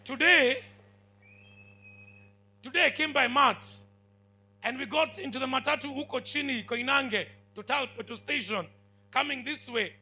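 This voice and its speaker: A man's voice: very high-pitched, measured pace, long pauses, sharp tone, a little hiss or hum. A man with a Kenyan accent preaching a sermon to students. He has a big, commanding voice, speaks emphatically and keeps asking the crowd whether they are following. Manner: emphatic, with call-and-response questions.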